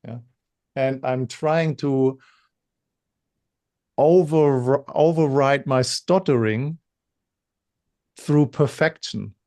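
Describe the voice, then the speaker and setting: A man co-hosting a webinar, talking in a halting way with many repeated words; he says he stutters when insecure. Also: mid-range voice, a little mellow. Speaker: webinar co-host. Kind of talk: casual webinar talk.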